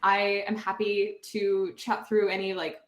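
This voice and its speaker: A woman's voice: medium pitch, flat delivery, unhurried, muffled recording. Upbeat woman in an echoey room. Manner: upbeat, friendly, chatty. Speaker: panelist. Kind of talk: panel introduction. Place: home room with a brick wall.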